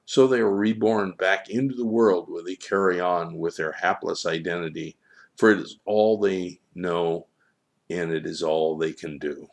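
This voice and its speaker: A man voicing a wise divine child in a storybook, teaching solemnly in measured, preaching aphorisms. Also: low-pitched, fairly even intonation, vocal fry. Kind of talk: storybook character reading.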